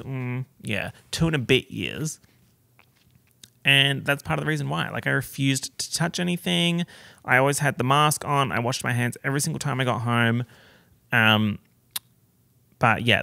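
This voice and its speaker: A young man chats through his podcast in a campy, theatrical style, heavy on slang. His voice is gravelly with a bright, forceful edge, and the sound is close and dry. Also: mid-range voice, no room sound. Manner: campy, dramatic, slangy storytelling. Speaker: podcast host. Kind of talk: casual solo podcast chat.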